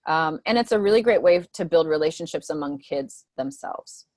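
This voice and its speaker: A woman's voice: low voice, lively intonation, normal speed, darkish tone, no room sound, clean studio sound. A woman presenting to teachers on a mentoring video call, talking conversationally with frequent "um" and "right?" asides.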